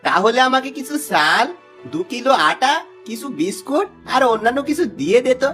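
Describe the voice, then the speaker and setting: A man's voice: very high voice, sing-song, a little fast, music in the background. A man voicing a sly, smug middle-aged village swindler in a cartoon fable, with a wheedling, theatrical delivery. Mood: flattering, coaxing. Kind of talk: Bengali cartoon fable voice acting.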